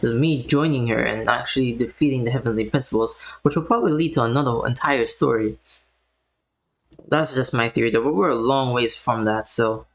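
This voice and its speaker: A man's voice: medium pitch, brisk, creaky, low-quality recording. A young man giving casual, slangy commentary while he plays a video game, in a laid-back way. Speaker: gaming streamer.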